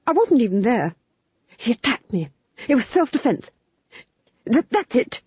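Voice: medium pitch, very animated, measured pace, darkish tone, husky, dry recording, pitched above the performer's own voice, exaggerated voice. An audiobook reader voicing an anxious, guilt-ridden male duke who babbles nervously, in a dull lo-fi recording.

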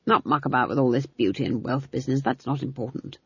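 A woman's voice: a bass voice, quick pace, fairly bright tone, breathy edge, no echo, dull audio, pitched below the performer's own voice, full character voice. A woman voicing a stern, dismissive old witch with a blunt, folksy, no-nonsense manner in an audiobook. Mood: dismissive, brusque. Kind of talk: audiobook character voice.

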